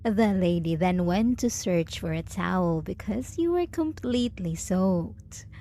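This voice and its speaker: A woman playing a jealous, possessive yet doting lover in an intimate ASMR roleplay, teasing and condescending with deliberate pauses. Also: lowish voice, expressive, mellow. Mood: teasing, controlled. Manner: slow, teasing, condescending pet-name cooing with deliberate pauses.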